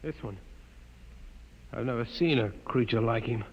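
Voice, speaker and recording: An actor playing a defiant, scornful rebel from a starship, urgent and blunt, in a 1950s radio drama. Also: male voice, medium pitch, a little slow, natural voice. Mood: defiant, appalled. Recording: muffled noisy vintage radio, reverberant.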